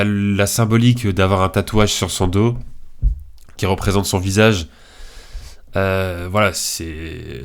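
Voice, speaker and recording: A young man with a head cold giving casual, slangy tier list commentary in a hesitant, informal way. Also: lowish voice. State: congested from a cold. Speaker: video creator.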